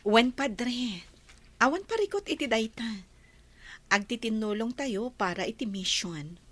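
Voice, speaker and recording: A kindly young wife in a radio drama. Her voice is on the high side, and she speaks as a helpful, considerate character. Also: a bit bright, no room sound. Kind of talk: Ilocano radio drama acting.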